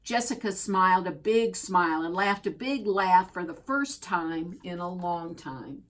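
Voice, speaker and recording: A woman reading a children's picture book aloud as a storyteller, expressively and deliberately, drawing out key words. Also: lowish voice, a bit bright. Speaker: storybook reader.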